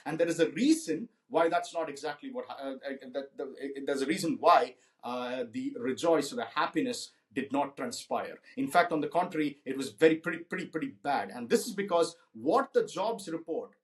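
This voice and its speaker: Hoarse man talking through market numbers. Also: fairly high pitch. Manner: conversational, many restarts and fillers. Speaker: podcast host. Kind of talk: solo finance commentary. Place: indoors in a cold city.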